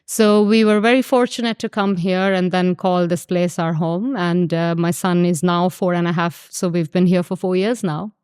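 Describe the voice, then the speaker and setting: A woman's voice: on the low side, fairly even intonation, moderate pace, hardly any pauses, a little mellow, clean tone, no room sound, studio quality. A woman in her thirties from Pakistan, chatting easily as a guest designer, with a ready laugh. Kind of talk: casual interview chat.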